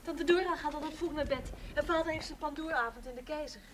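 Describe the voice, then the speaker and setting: A woman's voice: high voice, quick pace, natural voice. An actress playing a shy, nervous young village woman in a television drama, hesitant and polite. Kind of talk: naturalistic television drama acting. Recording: noisy old TV soundtrack.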